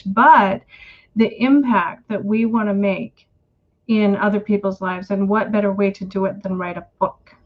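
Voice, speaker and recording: An earnest, encouraging woman speaks at a mid pitch, repeating phrases to drive her point home. Her voice comes through dull, muffled video-call audio. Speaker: co-host and writing coach. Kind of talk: motivational casual chat.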